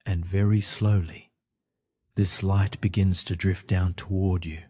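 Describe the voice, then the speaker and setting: A man narrating a gentle guided sleep meditation in soft, soothing, unhurried phrases with frequent pauses. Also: sits low, little pitch movement.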